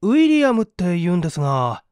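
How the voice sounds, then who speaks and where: A man's voice: sits high, huge pitch swings, fairly quick, darkish tone, clean tone, no echo, clean studio sound, pitched above the performer's own voice. A man playing an earnest schoolteacher in a read-aloud story, asking insistent, probing questions. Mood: concerned.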